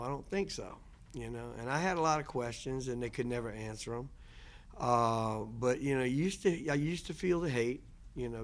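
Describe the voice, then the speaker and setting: Man, 60s, guest lecturer; reflective personal storyteller. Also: medium pitch. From Louisiana. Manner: unhurried, reflective, trailing restarts.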